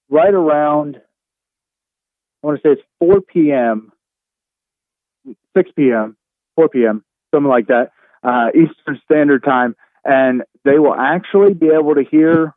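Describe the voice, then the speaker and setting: A man's voice: medium pitch, no echo. Man on a thin phone-like line. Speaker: radio show host appearing as a podcast guest. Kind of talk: casual interview chat.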